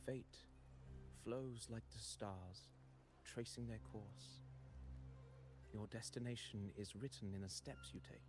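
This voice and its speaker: An actor voicing a courteous, cryptic poet storyteller in a video game, speaking in a measured, formal, poetic way. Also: male voice, fairly low pitch. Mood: musing, serene. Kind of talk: scripted video game character dialogue. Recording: game music under the voice. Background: game background music.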